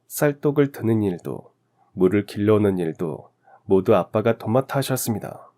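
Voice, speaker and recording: A man reads a scripted first-person story for radio. His voice sits low and is very dark and mellow, in the manner of a story narrator. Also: animated, moderate pace.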